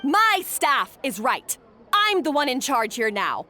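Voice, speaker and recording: An actress playing a domineering head chef in a video game, imperious and scornful. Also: female voice, high voice, very animated, slow pace, bright, forceful tone, a little hiss or hum, heavily acted. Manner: imperious, scornful, barking orders. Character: haughty, domineering female head chef, harsh boss.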